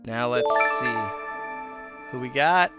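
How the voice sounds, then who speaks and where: A man's voice: mid-range voice, takes it slowly, lo-fi sound. A man live streaming a game, chatting casually and in a friendly way with viewers as he plays. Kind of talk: casual game livestream chat. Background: music.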